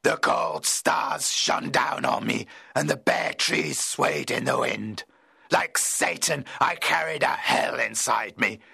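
A man voicing a lonely, anguished outcast monster who tells his own story in a dramatic audiobook, gravelly, husky and theatrical. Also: medium pitch, bright, forceful tone, dry recording, pitched above the performer's own voice, exaggerated voice. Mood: anguished, vengeful. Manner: intense, deliberate, theatrical.

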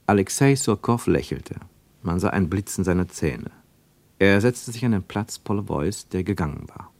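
A man narrating a literary radio drama as a reflective observer, deliberate and given to rhetorical questions. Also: deep voice, animated, darkish tone, no room sound. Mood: measured, observant. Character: male narrator of a literary travel report, probing and reflective.

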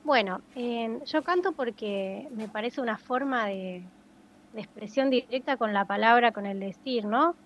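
A woman's voice: on the high side, unhurried. A woman singer-songwriter, speaking in a casual interview and searching thoughtfully for her words. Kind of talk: casual interview over a phone line. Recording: dull, dry phone-line sound.

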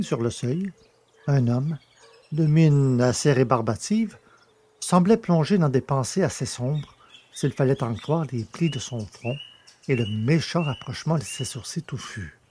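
A man's voice: on the high side, a little mellow. A man reading literary fiction as a scripted audiobook narrator.

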